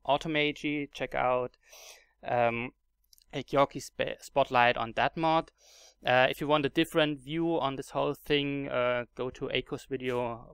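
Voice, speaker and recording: A man's voice: mid-range voice, gravelly, fairly bright tone, clean tone, no echo, studio quality. A man with a German accent narrating a casual game tutorial, hesitant with many fillers and restarts. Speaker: Minecraft mod video creator.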